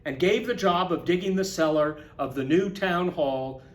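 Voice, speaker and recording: Male children's storyteller, high-pitched, expressive and emphatic read-aloud, echoey room. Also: normal speed. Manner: expressive, emphatic, explains words in asides. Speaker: school storyteller reading to children. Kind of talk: animated read-aloud of a children's picture book.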